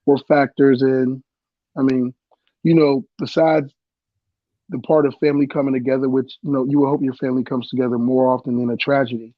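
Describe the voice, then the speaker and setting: A man's voice: mid-range voice, flat delivery, fairly quick, dry recording, highs cut off. A man co-hosting a casual podcast, a bit congested from a cold, with a playful, teasing way of talking. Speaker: podcast co-host. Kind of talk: casual chat.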